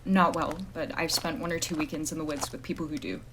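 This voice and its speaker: A woman acting a low-voiced hard-boiled female detective. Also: fairly even intonation, fast, background noise, close to an everyday voice. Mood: grim, firm. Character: hard-boiled female private detective, blunt, wary, terse aunt. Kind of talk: audio drama acting with noir narration.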